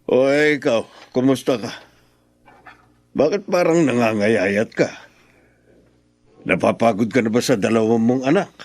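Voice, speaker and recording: A man playing a concerned, caring older father in a radio drama, gently probing. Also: medium pitch, measured pace, pauses often, no room sound, lightly acted. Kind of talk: Tagalog radio drama acting.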